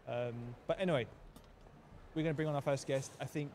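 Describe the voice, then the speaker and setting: A man hosts a relaxed podcast chat, joking and laughing easily. Clear noise from the busy surroundings runs behind his voice. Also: a little high, brisk. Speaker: podcast co-host. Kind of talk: casual chat. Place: indoor space near a pop-up store.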